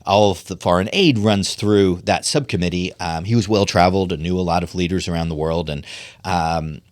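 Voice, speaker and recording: A middle-aged man from Pennsylvania speaks as a podcast guest, his voice sitting very low. He talks earnestly and thoughtfully, pausing on ums and restarting phrases. The sound is dry and close, with no room around it. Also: no echo. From Pennsylvania, USA. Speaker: podcast guest, political strategist. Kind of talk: conversational podcast interview.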